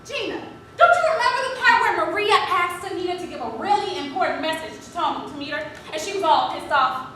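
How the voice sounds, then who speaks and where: A woman's voice: extremely high pitch, lively intonation, quick pace, bright, forceful tone, heavily acted. An actress playing a boy-crazy young woman in a comic stage scene, gushing, giggly and theatrical as she pleads with her best friend. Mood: gossipy. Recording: very echoey room, distant mic, noisy.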